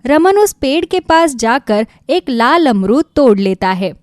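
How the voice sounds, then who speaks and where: A woman narrating a scripted children's moral story in an expressive storytelling manner. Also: sits high, a little slow, no room sound.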